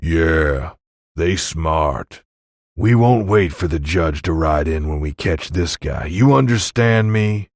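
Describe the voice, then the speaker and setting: Man acting a deep, grim Old West sheriff. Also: animated, no echo, clean studio sound, heavily acted. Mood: angry. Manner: slow, folksy, blunt.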